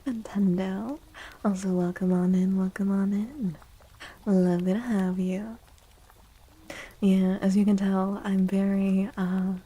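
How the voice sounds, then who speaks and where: A woman livestreaming soft-spoken ASMR chat in a playful, unhurried way, with a breathy, often whispery voice close to the mic. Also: mid-range voice, poor audio. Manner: playful, unhurried, repeats phrases. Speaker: livestream host.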